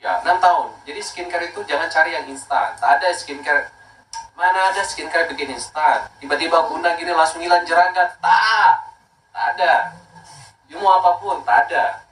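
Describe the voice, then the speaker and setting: A man's voice: fairly high pitch, normal speed, a bit bright, echoey room, far from the mic, low-quality recording. A man in his thirties from Makassar, a skincare brand owner talking casually and emphatically, repeating points and mixing in English words. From Makassar, Indonesia. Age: 30s. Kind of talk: casual promotional talk.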